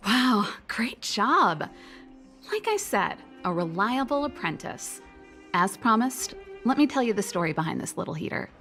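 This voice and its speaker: A woman's voice: fairly high pitch, sing-song, a little slow, bright, forceful tone, soft background music, full character voice. A woman voicing an easygoing, teasing young female mechanic and musician in a video game, with a casual, playful delivery. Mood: teasing, upbeat.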